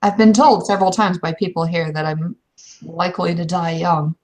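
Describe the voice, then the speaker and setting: A woman playing a proud, blunt young werewolf shield maiden in a tabletop game, with a husky voice and frank, halting phrasing. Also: lowish voice, steady tone, a little mellow, natural voice. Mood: subdued, wary. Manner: frank, hesitant phrasing but unyielding.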